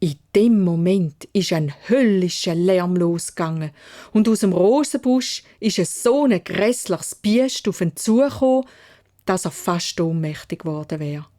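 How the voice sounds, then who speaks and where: A woman's voice: lowish voice, expressive, a little slow, warm tone. A woman with a Swiss German accent narrating a children's fairytale in dialect as a storyteller.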